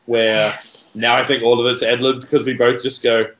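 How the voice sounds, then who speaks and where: A man from New Zealand with a loud voice, chatting as a guest on an online call. He talks casually, full of jokes and self-mocking asides. His voice rings out in a roomy space. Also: mid-range voice, flat delivery, normal speed. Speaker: podcast guest. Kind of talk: casual chat. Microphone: USB mic, online call.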